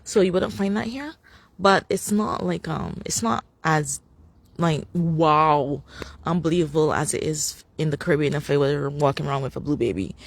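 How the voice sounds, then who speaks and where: A woman's voice: low voice, normal speed. A young woman from Barbados with a Barbadian accent, chatting casually on a phone live stream outdoors on a city street, rambling with many fillers and restarts and laughing often. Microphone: phone camera.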